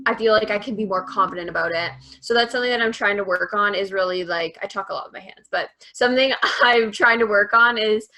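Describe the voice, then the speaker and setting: Bright-voiced young woman guest, reflective. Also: medium pitch. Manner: thoughtful, says "like" often. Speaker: interview guest. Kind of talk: casual reflective chat.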